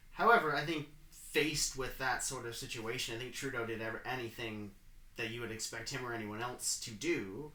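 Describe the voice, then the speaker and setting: A man with a bright, mid-pitched voice weighs in casually, padding his points with fillers and quick asides. The sound is roomy, with clear echo around him. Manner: conversational, lots of fillers and asides. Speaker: podcast co-host. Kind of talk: casual political discussion.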